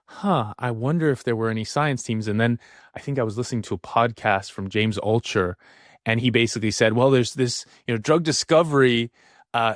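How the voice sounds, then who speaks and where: A man's voice: medium pitch, dry recording, studio quality. A man hosting a podcast interview, talking in an enthusiastic, rambling way with frequent restarts. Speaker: podcast host. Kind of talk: casual interview chat.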